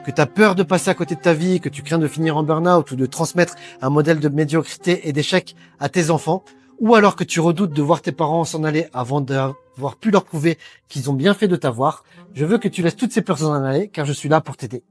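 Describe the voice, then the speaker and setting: Hesitant man pitching, faint music behind. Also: a little fast. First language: French. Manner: hesitant, many fillers, earnest persuading. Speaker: sales training promoter. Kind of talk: direct-to-camera sales pitch.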